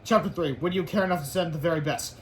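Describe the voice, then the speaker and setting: A man's voice: a little high, fast, slight background noise. A man narrating a children's book read-aloud, stumbling over words now and then.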